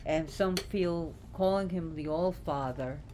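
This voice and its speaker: A woman giving an informal, unscripted explanation, halting as she searches for words. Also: deep voice. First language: not Gaelic. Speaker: presenter explaining names of a Celtic deity. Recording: clear background noise.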